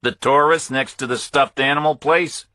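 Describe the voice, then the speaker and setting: Man acting out forceful, smug thriller villain. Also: medium pitch, fairly even intonation, moderate pace, no echo, clean studio sound, voice pushed up, close to an everyday voice. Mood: smug, controlling. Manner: commanding, taunting. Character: menacing, smug armed male villain in a thriller.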